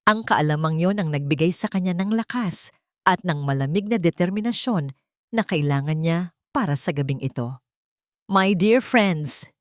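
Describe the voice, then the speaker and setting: A woman with a Filipino accent narrating a scripted dramatic story in measured, dramatic phrases. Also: sits low, darkish tone, no room sound, studio quality.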